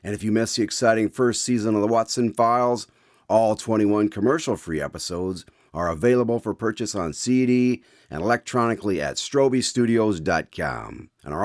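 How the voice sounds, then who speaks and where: An actor playing a courteous radio host, speaking in a polished, formal announcer's manner in a scripted drama. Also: male voice, lowish voice, no room sound, clean studio sound. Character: courteous male radio host, eager visitor to the doctor.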